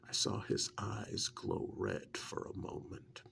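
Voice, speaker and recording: A man playing a witch's assistant in an ASMR role-play, softly telling his story in an earnest, wary, hesitant way with a breathy, gravelly voice. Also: a little hiss or hum. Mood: haunted, hushed. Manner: hesitant, unhurried first-person storytelling.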